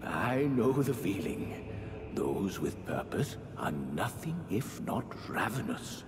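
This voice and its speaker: Deep, slow, grandiose sorcerer. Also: male voice, big pitch swings, full character voice. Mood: wry, probing. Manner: slow, ornate, musing, archaic phrasing. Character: grandiose, courtly elder sorcerer-priest, rapturous and brooding. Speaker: game character, sorcerer. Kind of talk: theatrical fantasy game acting. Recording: echoing hall reverb, background noise.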